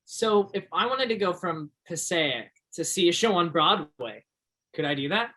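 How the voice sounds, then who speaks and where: A man's voice: sits high, animated, moderate pace, pushed and bright, heavily acted. An actor in a one-act stage play playing an earnest, shy young Alaskan backwoodsman, speaking a little hesitantly. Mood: curious.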